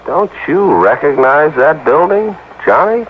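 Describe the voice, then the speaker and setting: A man's voice: fairly high pitch, animated, unhurried, narrow, tinny audio. A man playing a grumbling, folksy insurance office man in a 1950s radio drama, conversational and a little hesitant. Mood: startled, urgent. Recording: thin, faintly noisy old radio recording.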